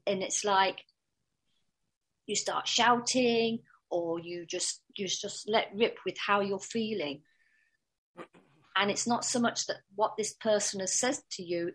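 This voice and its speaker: A woman dog trainer teaching a workshop in a calm, steady voice that she pushes from the diaphragm. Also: medium pitch, rough recording.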